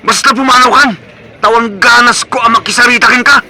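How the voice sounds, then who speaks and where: A man's voice: very high-pitched, steady tone, brisk, bright, forceful tone, faint music behind, heavily acted. A Filipino man playing an irritable young bachelor son in a comedic radio drama, curt and scolding. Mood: exasperated.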